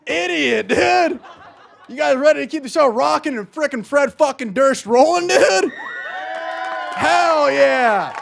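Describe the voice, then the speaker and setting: An American male comedian hosts in a very high voice with lots of gravelly creak. He talks like a brash, mock-tough bro, capping phrases with dude. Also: lively intonation, measured pace, a bit bright, some chatter behind, slight background noise. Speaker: comedy show host. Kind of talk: stand-up hosting and bits.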